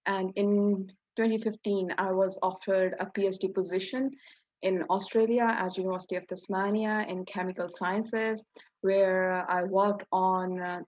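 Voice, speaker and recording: A woman's voice: medium pitch, a little mellow. A woman in her thirties with a Pakistani accent, introducing herself as a scientist on a webinar panel over a video call. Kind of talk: prepared self-introduction with hesitations. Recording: roomy, dull video-call audio.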